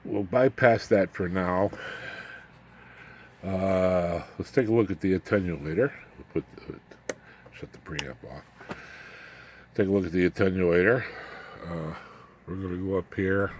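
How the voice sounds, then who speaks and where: Deep-voiced man over audible background noise. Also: darkish tone. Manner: halting, muttering asides to himself while working. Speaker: hobbyist radio builder demonstrating his equipment. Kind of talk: informal unscripted hands-on demonstration. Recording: clear background noise, room ambience.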